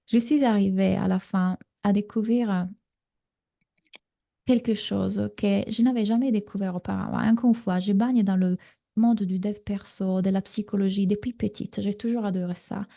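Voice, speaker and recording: Italian woman coaching, with a gravelly edge. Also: medium pitch, fairly even intonation, moderate pace. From Italy. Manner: warm, reassuring coaching talk with frequent fillers. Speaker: relationship coach. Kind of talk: live online masterclass.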